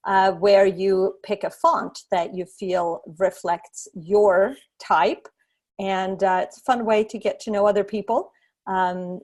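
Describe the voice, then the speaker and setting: A woman from Sweden, a design instructor, giving a relaxed online lecture on a video call with many fillers and restarts. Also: medium pitch.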